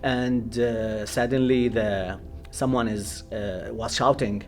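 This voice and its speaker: A man from Iraq with an Iraqi accent, a pastor giving personal testimony in a halting way, searching for words. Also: on the low side, steady tone, soft background music.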